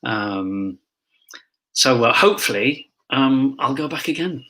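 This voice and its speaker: A man's voice: medium pitch, animated, slow, pushed and bright, low-quality recording. A man who performs as a pantomime dame, chatting casually and telling theatre anecdotes as an interview guest.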